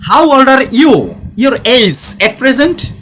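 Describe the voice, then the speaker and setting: A man from Bangladesh, chatting on a live stream in halting English and asking each question several times over. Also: very high voice, sing-song, fairly bright tone, a little hiss or hum. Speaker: live-stream chat participant. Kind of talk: casual live-stream chat.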